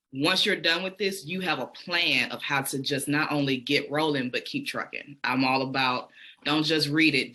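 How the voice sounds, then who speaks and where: A woman's voice: extremely low pitch, pushed and bright. A woman with a Southern American accent, a podcaster and educator telling her story as a guest in a casual online interview. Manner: enthusiastic, storytelling, self-reflective. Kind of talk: conversational interview answers.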